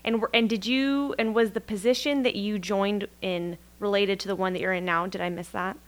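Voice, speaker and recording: A woman's voice: mid-range voice, fairly even intonation, brisk. Woman hosting a podcast interview. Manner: polite, formal welcome. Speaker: podcast host. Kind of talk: scripted intro, then interviewing.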